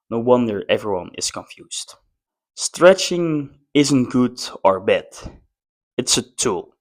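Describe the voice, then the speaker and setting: A man who is a non-native English speaker, presenting an explainer in short, emphatic phrases. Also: medium pitch. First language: not English. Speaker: presenter, former athlete. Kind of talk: semi-scripted explainer to camera.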